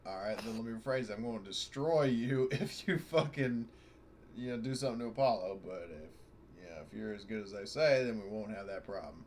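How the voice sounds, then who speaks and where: A man with a fairly low voice talks through his moves in a tabletop game. He is halting and casual, swears freely, and has light noise behind him. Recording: noisy online voice call.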